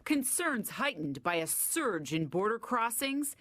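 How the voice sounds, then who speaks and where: A woman reporting for local TV news, delivering her story in a brisk, conversational way. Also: on the high side, very animated, a bit bright.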